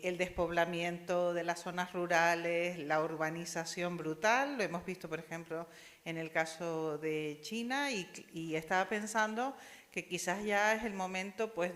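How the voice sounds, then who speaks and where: A woman's voice: on the low side, fairly bright tone. Spanish woman speaking in a reverberant hall. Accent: Spanish (Spain). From Spain. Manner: thoughtful, discursive, many tag questions. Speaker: audience member asking questions at an academic panel. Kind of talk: academic commentary and questions. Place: university lecture hall.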